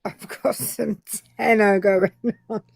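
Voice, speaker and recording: A woman's voice: medium pitch, steady tone, slow, vocal fry, no echo. Joking British woman, dry close sound. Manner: joking, self-deprecating. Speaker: co-host. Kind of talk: casual live stream chat.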